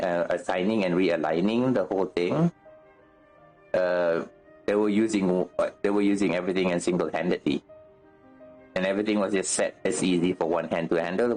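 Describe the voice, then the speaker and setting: Guy with a lowish voice streaming a game, keeps searching for words and repeating himself, bit exasperated, faint music behind. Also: darkish tone. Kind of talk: casual livestream chat while gaming.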